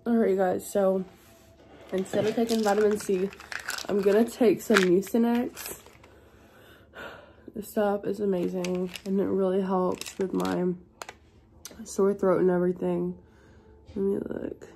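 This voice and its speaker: A young woman, hoarse and congested from being sick, chatting tiredly in a home vlog. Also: level tone, a little slow, long pauses, dark tone, slight background noise. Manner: tired, low-energy, chatty. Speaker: vlogger. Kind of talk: casual vlog chat. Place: at home indoors.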